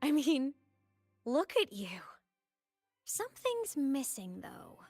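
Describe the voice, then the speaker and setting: An actress playing a playful, breezy young college student in a video game, teasing and animated. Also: female voice, sits high, slow, exaggerated voice. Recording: music under the voice.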